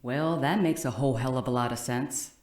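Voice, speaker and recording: A woman's voice: very deep voice, brisk, a little mellow, distant mic. An actress playing a wry, sceptical captive woman in an audio drama, with a sardonic delivery. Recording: echoey, away from mic.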